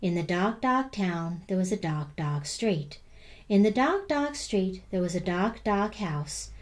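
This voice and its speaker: A woman reads a children's picture book aloud. Her mid-pitched voice swings widely and expressively, leaning deliberately on repeated words to build the storytelling. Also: animated.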